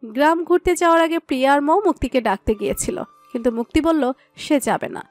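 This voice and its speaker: A woman's voice: sits high, animated, fast. A woman narrating a romantic audio story from a script. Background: background music.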